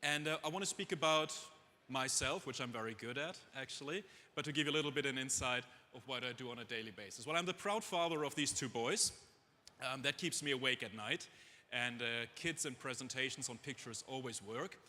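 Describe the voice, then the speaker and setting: Bright-voiced German man in a reverberant hall. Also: mid-range voice, fairly quick. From Germany, raised in Dubai. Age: middle-aged. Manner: self-deprecating, conversational, rhetorical asides to audience. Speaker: chief executive speaking on stage. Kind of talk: conference presentation, informal and humorous. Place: on stage in a large conference hall. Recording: echoey conference hall, long reverb.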